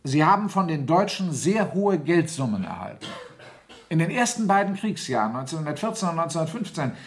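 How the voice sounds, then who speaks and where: A sardonic general presiding over a military court, with a fairly high male voice. He speaks formally and commandingly, in stern radio drama style. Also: big pitch swings, brisk. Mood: interrogating. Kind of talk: German radio play acting.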